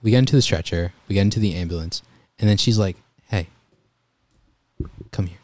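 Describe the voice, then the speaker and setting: A young man telling EMT stories in a relaxed, calm voice during a casual chat. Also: deep voice, brisk. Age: young adult. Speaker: guest, EMT volunteer.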